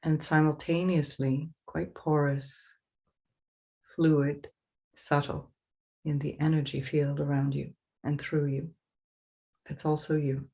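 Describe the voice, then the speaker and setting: Woman guiding meditation in an even tone. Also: low-pitched, gravelly. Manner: slow, soothing instruction with pauses. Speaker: meditation teacher. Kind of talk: guided meditation.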